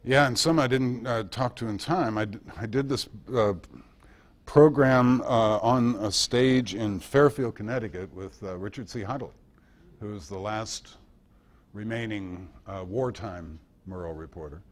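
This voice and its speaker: A man with a fairly low American voice gives an informal talk. He answers questions thoughtfully and anecdotally, hesitating and pausing as he searches for the right phrase. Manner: hesitant, thoughtful, anecdotal delivery with long pauses. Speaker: guest speaker and author at a club meeting. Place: indoor club meeting.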